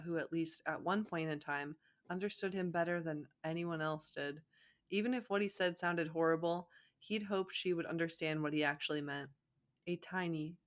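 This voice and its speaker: Giggly woman reading aloud casually. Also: sits low. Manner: giggly, easily cracked up, stumbles over lines. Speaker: co-host reading fan fiction aloud. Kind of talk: casual comedic read-aloud with commentary.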